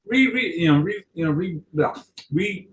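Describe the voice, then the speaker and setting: A man chatting casually in a rambling, halting way, often correcting himself mid-sentence. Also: sits high, lively intonation, recorded from a distance. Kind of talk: casual chat.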